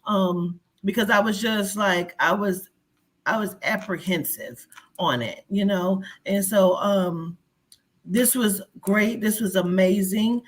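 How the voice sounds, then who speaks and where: A woman's voice: medium pitch, takes it slowly. A woman guest in a casual interview, chatty and earnest.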